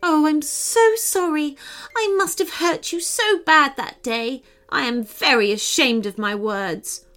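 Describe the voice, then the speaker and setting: Woman voicing a dramatic, high-pitched storybook swan. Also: expressive, slow pace. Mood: remorseful. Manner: dramatic, emotive delivery. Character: vain beautiful female swan, haughty yet later tender.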